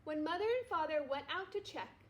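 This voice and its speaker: A woman, a primary school teacher, reading a children's storybook aloud in an expressive way. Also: sits high, normal speed, a little hiss or hum. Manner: expressive, acts out dialogue. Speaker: second-grade teacher.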